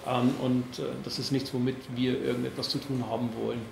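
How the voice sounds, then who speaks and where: A man in his forties with an Upper Palatinate accent, answering questions at a press conference in a halting way, with many fillers and restarts. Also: medium pitch, little pitch movement, creaky, a little mellow, reverberant, slight background noise. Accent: Upper Palatinate, Franconian-tinged. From Bavaria, Upper Palatinate. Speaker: party board member at press conference.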